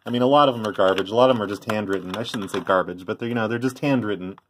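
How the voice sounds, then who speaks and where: Middle-aged man, lowish voice, offhand rambling vlog commentary, noticeable background noise, clicks. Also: very fast. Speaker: vlogger, former prank call tape trader. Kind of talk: casual show-and-tell commentary. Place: indoors by a tape deck.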